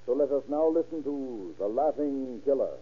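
A man narrating a scripted 1930s radio crime show in a formal, measured, dramatic manner with a husky voice. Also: mid-range voice, animated. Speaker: narrator and announcer. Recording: dull old radio recording.

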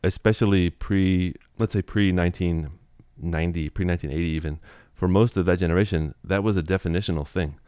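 A man guest in an interview, explaining at length in a relaxed way with many "you know"s and restarts. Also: low voice, smooth, dry recording, studio quality. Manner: quick, thoughtful, full of "you know" and restarts.

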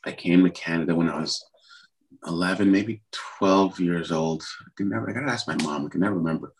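A man's voice: low-pitched, fairly quick, a little hiss or hum, poor audio. A middle-aged man from Trinidad, a musician guest telling his story in a rambling, hesitant way with many fillers. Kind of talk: conversational interview.

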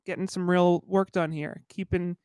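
A man's voice: high-pitched, level tone, moderate pace, darkish tone, smooth, dry recording. A man co-hosting a podcast in casual chat, congested and stuffy-nosed, rambling with lots of "like". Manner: rambling, self-deprecating, frequent "like". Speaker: podcast co-host.

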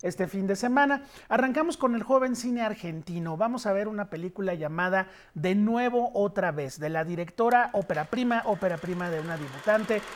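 A man with a Mexican accent giving conversational film reviews on a morning show, animated and emphatic. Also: high-pitched, fairly quick, few pauses. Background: clear background music.

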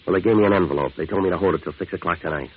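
An actor playing a tough, world-weary American jazz musician, clipped and deadpan, in a 1950s hard-boiled radio drama. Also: male voice, deep voice, racing, breathy edge. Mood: terse, pressing. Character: tough, world-weary male jazz musician narrating his crime story. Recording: tinny old radio recording with music.

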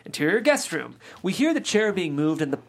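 A woman reading stage directions in a measured, matter-of-fact way at a table read. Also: on the low side, sing-song. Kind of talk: scripted narration of stage directions.

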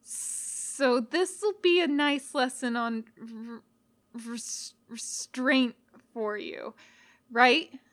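A woman's voice: a little high, very slow, a bit bright. A woman voicing a menacing but easygoing skeleton boy who stutters and halts through a casual drawl in a storybook reading. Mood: quietly menacing.

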